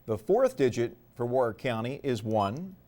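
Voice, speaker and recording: A man's voice: medium pitch, sing-song, moderate pace, warm tone, a little hiss or hum. A man narrating a product tutorial step by step in a methodical, explanatory way. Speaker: instructional presenter.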